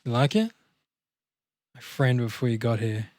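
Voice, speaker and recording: A man's voice: medium pitch, a little slow, a little mellow, no room sound, clean studio sound, natural voice. Man acting a heartbroken, sardonic young slacker. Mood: glum, sardonic. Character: devastated, freshly dumped young male slacker, sardonic. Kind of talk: table read of a film comedy scene played sad.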